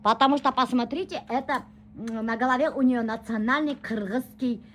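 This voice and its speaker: A woman's voice: a little high, fairly even intonation, moderate pace, a bit bright, slight background noise. A woman, chatting and joking casually as a vlogger in a recording studio. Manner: chatty, joking, self-mocking. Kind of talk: casual studio vlog chat.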